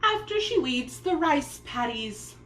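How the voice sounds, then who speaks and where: A woman's voice: high-pitched, very animated, slow, fairly bright tone, reverberant, slight background noise, pitched above the performer's own voice, heavily acted. A woman voicing a cruel stepmother in a storybook, bossy and scornful in manner. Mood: mock sweet. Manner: commanding, scoffing, mock-sweet.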